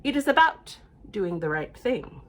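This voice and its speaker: A woman voicing a bossy, know-it-all male cat in a picture book, making emphatic, drawn-out pronouncements. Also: mid-range voice, big pitch swings. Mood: sure, instructive. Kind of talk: children's storybook read-aloud.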